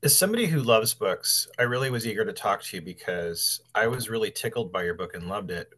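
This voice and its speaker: Low-voiced male podcast host. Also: expressive, brisk, fairly bright tone. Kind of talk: podcast talk, introducing and interviewing an author.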